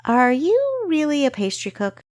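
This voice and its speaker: A woman's voice: sits high, wildly swooping pitch, unhurried, dry recording, clean studio sound, voice pushed up, full character voice. A woman voicing a wary young female spy trainee, asking pointed questions in an audiobook. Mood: probing.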